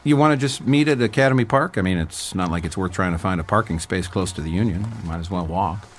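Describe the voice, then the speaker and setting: An actor playing a cocky, easygoing young college student in an audio drama, talking in a casual, teasing way. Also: male voice, low voice, quick pace. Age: young adult.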